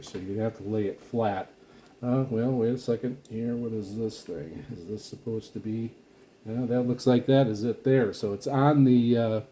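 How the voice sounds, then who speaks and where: A man giving casual, unscripted product commentary as a video host, rambling haltingly and often repeating and restarting his phrases. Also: medium pitch, dark tone, slight background noise. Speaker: video host reviewing radio gear.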